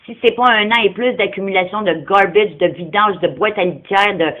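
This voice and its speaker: A woman's voice: medium pitch, a little fast. A non-francophone woman speaking Quebec French, arguing insistently and confrontationally on a phone call, often cutting in. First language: not French, non-francophone. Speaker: caller confronting someone over animal welfare. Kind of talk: argumentative phone conversation.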